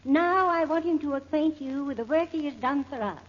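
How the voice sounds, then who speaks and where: A woman's voice: high-pitched, moderate pace, close to an everyday voice. An actress playing a formal, insistent charity chairwoman in a 1940s radio drama. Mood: formal, gracious. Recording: dull, noisy 1940s radio recording.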